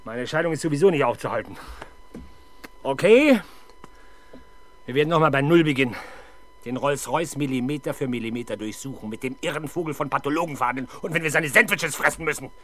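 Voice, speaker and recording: A middle-aged actor playing a stubborn, embittered police inspector in a 1970s German radio crime play, gruff and sardonic. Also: male voice, medium pitch, fairly quick, pauses often, fairly bright tone, lightly acted. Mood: determined.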